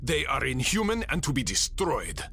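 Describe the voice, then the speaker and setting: Dramatic captive soldier, sweeping pitch man acting. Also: very animated, moderate pace, vocal fry, pushed and bright, husky, exaggerated voice. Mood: grim, recited. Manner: dramatic, earnest. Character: captive enemy soldier, resigned, devoted lover. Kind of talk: old-time radio drama acting. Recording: noisy old radio recording.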